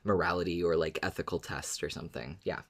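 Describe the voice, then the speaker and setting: Very deep-voiced podcast co-host. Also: male voice, fairly even intonation, fast talker, creaky, a bit bright. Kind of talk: casual conversation.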